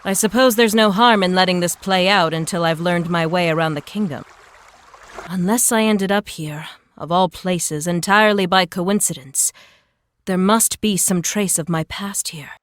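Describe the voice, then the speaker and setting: A woman's voice: fairly low pitch, normal speed, natural voice. An actress playing a cool, reserved young female knight in a video game, speaking in a guarded, formal way. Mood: pensive.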